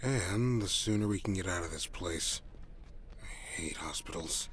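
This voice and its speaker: An actor playing a gruff, weary, impatient male detective in an audio drama. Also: sits low, measured pace, fairly bright tone, a little hiss or hum. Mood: weary irritation.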